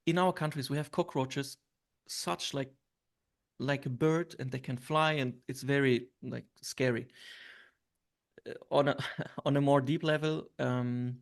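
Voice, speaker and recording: Gravelly-voiced man from Syria, hesitant. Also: mid-range voice, fairly even intonation, unhurried, long pauses. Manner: hesitant, many fillers and restarts. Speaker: interview guest. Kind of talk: reflective interview answers.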